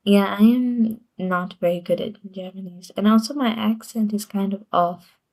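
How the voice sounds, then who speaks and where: A woman's voice: mid-range voice, normal speed, a little mellow. A woman streamer chatting casually on a livestream, rambling and giggling often between sentences.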